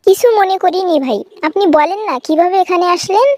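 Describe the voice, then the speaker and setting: A woman's voice: very high-pitched, a little fast, heavy background noise. A woman playing a polite, curious young university student from the city in a cartoon comedy, with a standard Dhaka accent and a questioning manner. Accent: standard Dhaka Bangla.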